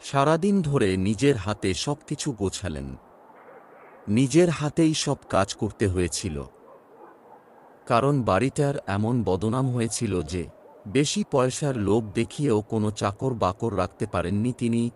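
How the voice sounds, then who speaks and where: A man narrating a scripted horror story as a storyteller, in a dramatic, measured delivery. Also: mid-range voice, expressive, darkish tone, slight background noise. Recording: music under the voice. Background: background music.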